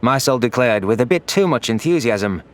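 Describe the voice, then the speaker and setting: A man's voice: mid-range voice, lively intonation, normal speed. A man reading dramatic first-person scripted narration as an audiobook narrator. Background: background music.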